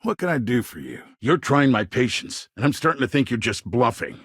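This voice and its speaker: A man playing a smooth-talking, self-important middle-aged colonial governor in a video game, with polished politician's phrasing and a husky voice. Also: mid-range voice, very animated, brisk, fairly bright tone, dry recording, clean studio sound. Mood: firm, veiled threat. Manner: polished politician's talk, veiled threats. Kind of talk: game dialogue voice lines.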